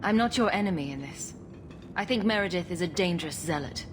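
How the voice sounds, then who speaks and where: A woman's voice: fairly low pitch, animated, a little fast, a little hiss or hum, natural voice. Woman playing a composed, direct heroine. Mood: firm, inquiring. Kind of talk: dramatic video game dialogue.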